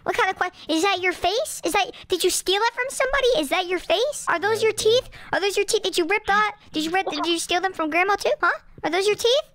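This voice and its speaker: A young man playing a cheeky, teasing seven-year-old boy through a high-pitched voice changer, bantering casually. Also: quick pace, hardly any pauses, sharp tone, a little hiss or hum. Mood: mock offended. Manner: teasing, mock-offended. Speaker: streamer trolling strangers. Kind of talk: casual prank banter on video chat. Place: small room at home. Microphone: close boom mic with foam cover.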